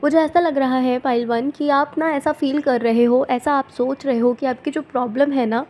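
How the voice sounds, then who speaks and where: A woman tarot reader with a sore throat, speaking softly and gently, often checking in with her viewers. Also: fairly even intonation, normal speed, a little hiss or hum. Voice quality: soft and low-volume.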